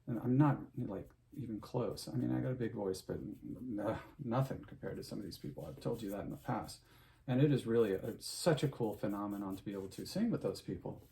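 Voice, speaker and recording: A man's voice: fairly low pitch, a little fast, vocal fry, poor audio. A middle-aged man, an opera singer, chatting casually to his viewers in a loose, rambling way with lots of fillers and restarts. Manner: chatty, full of fillers and restarts, warm sign-off advice.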